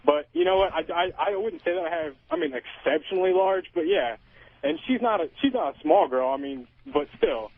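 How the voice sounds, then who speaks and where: A man's voice: on the high side, animated, a little fast, dry recording. A man in his twenties phoning a radio show, chatting candidly in a rambling, somewhat boastful way. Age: 20s. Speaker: phone-in caller, military serviceman. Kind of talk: candid casual phone-in chat. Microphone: telephone. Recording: thin phone-line call to radio show.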